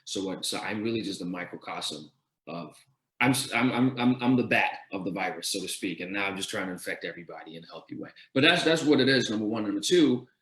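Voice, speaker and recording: A man's voice: fairly low pitch, animated, quick pace, fairly bright tone. Earnest man counting off his points. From Denmark. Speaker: guest entrepreneur. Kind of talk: answering questions at a town hall. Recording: roomy echo.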